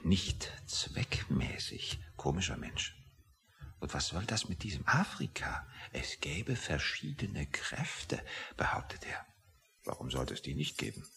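A status-minded official in a radio play. His voice sits very low and crackles with fry, yet moves in lively contours. He sounds dry and skeptical, musing with a condescending edge. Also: unhurried, in a whisper, heavily acted. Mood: musing, muttering. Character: skeptical, status-minded male official waiting outside a meeting.

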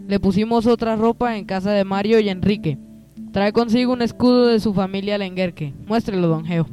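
A man's voice: high voice, fairly quick, a little mellow, soft background music, close to an everyday voice. A man playing an eager young friend in a radio drama, with a Colombian accent. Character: eager young friend explaining a strange find.

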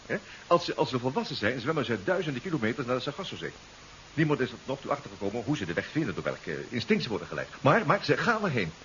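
Quick, excitable radio drama colleague. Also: male voice, medium pitch. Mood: eager. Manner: quick, repeats words eagerly. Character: eager, inquisitive male colleague, excitable explainer. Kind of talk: 1970s Dutch radio drama acting. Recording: dull hissy 1970s radio recording.

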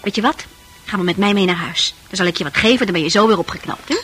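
A woman's voice: mid-range voice, fast talker, fairly bright tone, natural voice. An actress in a Dutch radio play, playing a worldly, coaxing woman of easy virtue with a chatty, knowing manner. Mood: coaxing, practical. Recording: radio play with music behind.

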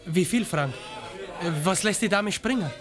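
A man's voice: sits high, expressive, normal speed, over music. An actor playing a nervy, greedy male sidekick in a radio drama, jittery and complaining. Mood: eager, pushy. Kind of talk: 1980 German radio drama acting.

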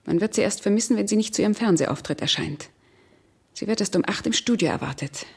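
A cold, scheming murderess with a husky, mid-pitched voice. She speaks with imperious control and cool persuasion, in the manner of a seventies radio thriller. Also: animated, fast talker, full character voice. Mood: cold, calculating. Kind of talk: 1970s German radio crime drama acting.

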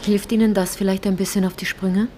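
An actress playing a wry, probing narrator and investigator in a radio drama, speaking in a dry, insistent way. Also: female voice, mid-range voice, little pitch movement, brisk, warm tone, a little hiss or hum, lightly acted.

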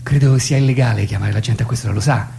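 A man's voice: mid-range voice, quick pace, creaky, warm tone, slight background noise. An actor playing a polite but exasperated, sleep-deprived young man who hesitates and protests in a comic radio play. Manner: hesitant, repeating himself, protesting.

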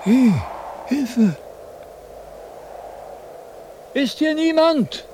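An older actor playing a vain, grumbling old wandering philosopher in a radio drama, declaiming theatrically and questioning himself. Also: male voice, very high-pitched, lively intonation, slow, dark tone, heavily acted. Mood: weary, calling out. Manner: declamatory, self-questioning, cantankerous. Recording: noisy 1970s radio drama with sound effects.

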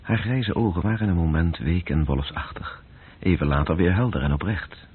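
An actor as the grave narrator of a radio play, reading scripted literary prose. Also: male voice, normal speed. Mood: sombre, observant. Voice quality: very husky, deep. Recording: dull, lo-fi old radio recording.

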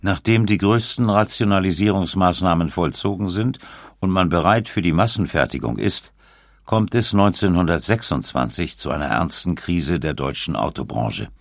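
A man reading scripted documentary narration in a measured manner, with dramatic pauses. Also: sits low, creaky, no room sound, studio quality.

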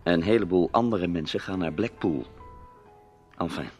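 A man's voice: low voice, moderate pace, a little mellow, faint music behind, lightly acted. An actor playing a wry, protective newsman friend with a dry, familiar way of talking in a Dutch radio drama. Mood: teasing, dry.

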